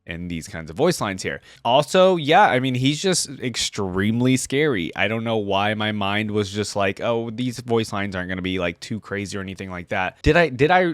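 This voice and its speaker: A man's voice: mid-range voice, no echo, clean studio sound. A man hosting a reaction video, talking casually with informal, exclamatory asides.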